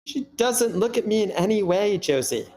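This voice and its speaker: A man's voice: high-pitched, expressive, normal speed, gravelly, a little mellow. A man playing an earnest, placating husband in a screenplay table read. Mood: defensive. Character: earnest, placating husband hiking with his wife. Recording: background noise on a live meeting.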